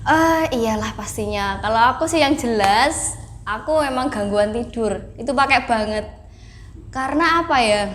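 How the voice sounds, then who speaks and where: A woman's voice: on the high side, moderate pace, fairly bright tone, a little hiss or hum. A woman of twenty-five, chatting casually as an interview guest. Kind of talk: casual chat.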